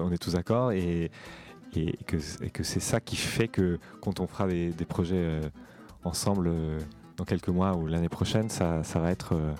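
Young male student, deep and soft-edged voice, hesitant and thoughtful, documentary testimony. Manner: hesitant, halting, reflective. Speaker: theatre school student in an occupation.

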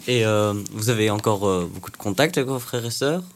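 A man's voice: lowish voice, fairly quick, slight background noise. A man asking an interview guest polite questions, sometimes stumbling over his words.

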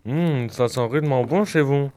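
A man's voice: medium pitch, moderate pace, natural voice. A male performer playing a naive Greek sailor with a casual way of talking in an audio drama. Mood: contented. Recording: loud noise, clinking dishes.

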